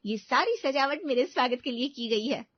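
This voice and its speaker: A woman's voice: sits high, expressive, normal speed, no echo. A woman voicing a chatty, ambitious young bride who vlogs to camera in a cartoon story. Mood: bubbly. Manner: bubbly, talking to camera. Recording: dull dry recording.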